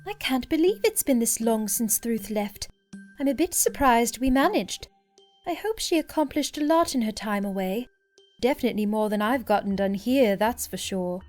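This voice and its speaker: A graceful, mature goddess speaks with poised, lofty calm and a gently teasing edge, as a woman voices her. Her fairly high voice swoops in lively curves. Faint music lingers beneath. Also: moderate pace, natural voice. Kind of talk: fan audio drama acting.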